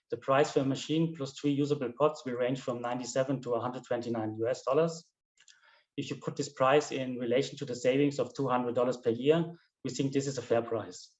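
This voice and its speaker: A man from Germany with a German accent, giving a rehearsed, earnest product pitch and demonstrating a device. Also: darkish tone. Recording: roomy, dull lo-fi sound.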